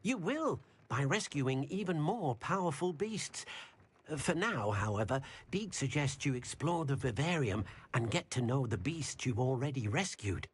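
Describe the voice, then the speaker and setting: A man's voice: mid-range voice, big pitch swings, a little slow, breathy edge. An actor playing a kindly, eager male house-elf helper in a video game, explaining things patiently and referring to himself in the third person. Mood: encouraging, pleased. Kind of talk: scripted video game character dialogue.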